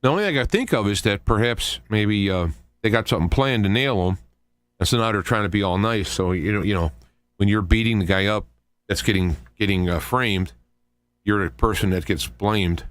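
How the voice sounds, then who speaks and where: A man with a fairly low voice hosts a talk show in a dry, close-sounding space. He delivers informal political commentary as a monologue, halting often and wandering into conversational asides. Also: fairly bright tone, clean tone, no room sound. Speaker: radio show host.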